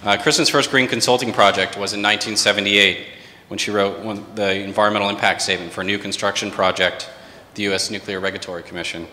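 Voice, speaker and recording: A man's voice: on the low side, fairly even intonation, sharp tone, a little hiss or hum. A man hosting a panel event, introducing the sponsor and reading out the moderator's bio. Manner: rapid, reading a prepared bio. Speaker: event host, company CEO. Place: event space with long reverb. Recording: reverberant room, faint ambience.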